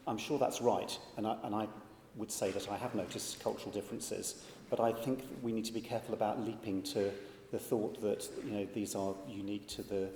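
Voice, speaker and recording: Man panelist, reflective, stumbles into sentences, deep and mellow, roomy hall sound. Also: steady tone, a little fast, slight background noise. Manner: reflective, repeating words as he starts. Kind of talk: panel discussion answer. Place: large conference hall. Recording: echoey hall.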